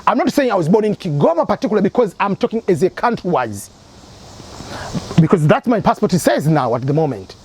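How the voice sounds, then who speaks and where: A man's voice: sits high, big pitch swings, a little fast, a little mellow, slightly rough. A man from Tanzania, hosting an advice channel and explaining his points through repeated examples. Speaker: immigration advice channel host.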